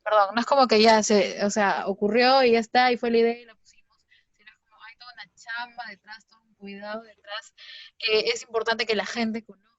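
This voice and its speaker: A woman's voice: on the high side, steady tone, a little fast, dry recording. Peruvian woman co-hosting, dull dry audio. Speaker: interview co-host. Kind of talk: casual chat.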